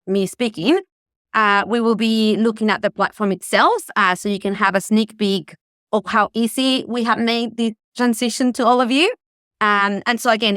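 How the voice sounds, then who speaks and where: Chilean-accented woman presenter, enthusiastic webinar delivery, smooth and dry. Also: medium pitch, a bit bright, dry recording. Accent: Chilean Spanish. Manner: enthusiastic, many fillers. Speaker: tourism sustainability presenter. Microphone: video call.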